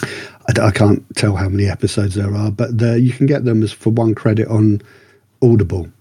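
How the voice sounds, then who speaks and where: Mellow, slightly husky male podcaster. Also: on the low side, steady tone, a little fast, dry recording, studio quality. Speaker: podcast co-host reviewing books and radio dramas. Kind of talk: casual review chat.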